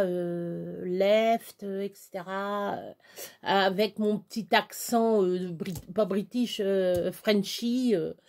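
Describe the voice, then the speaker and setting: Slow, mellow-voiced older woman rambling. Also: medium pitch. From Touraine, France. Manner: hesitant, many fillers and restarts. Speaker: craft vlogger. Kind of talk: rambling casual chat.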